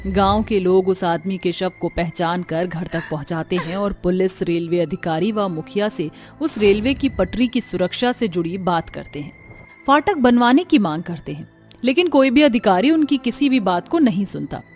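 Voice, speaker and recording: A woman's voice: medium pitch, expressive, moderate pace, a little mellow, faint music behind. A woman reading scripted dramatic narration for a cartoon horror story.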